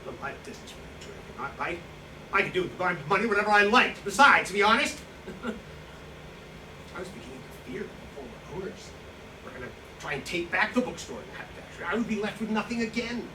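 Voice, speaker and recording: In a reverberant room with clear background noise, a man acts out an aggrieved, self-pitying wartime opportunist on stage. His voice sits a little high, and he justifies himself haltingly and indignantly. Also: big pitch swings, recorded from a distance. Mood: smug, aggrieved. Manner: indignant, wheedling, halting with nervous chuckles. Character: self-pitying, self-justifying Nazi-era profiteer who seized a bookstore. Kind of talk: staged reading of a stage drama. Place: live staged reading in a room. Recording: echoey, noisy hall recorded from afar.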